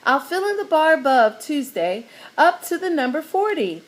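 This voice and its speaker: A woman's voice: high-pitched, sing-song, normal speed, voice pushed up, poor audio. A woman voicing an organized girl who keeps the sales chart, announcing results eagerly.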